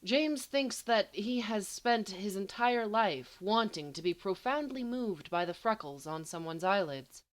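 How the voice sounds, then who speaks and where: A woman reading a story aloud as an expressive audiobook narrator. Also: mid-range voice, unhurried, hardly any pauses. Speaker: volunteer audiobook reader.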